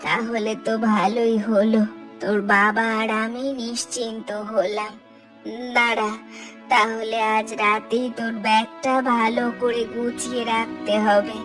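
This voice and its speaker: A woman's voice: a little high, little pitch movement, a little slow, recorded from a distance, over music, close to an everyday voice. A woman voicing a caring, fretful middle-aged mother in a cartoon story. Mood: concerned, caring. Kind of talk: Bengali cartoon story dialogue.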